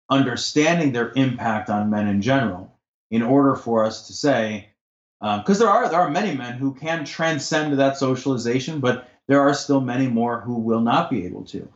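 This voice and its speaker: An assertive man talks with passion in a podcast conversation and swears as he heats up. His voice sits at a middle pitch. The room echoes around him, and the sound is dull and lo-fi. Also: normal speed. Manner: assertive, impassioned, swears when heated.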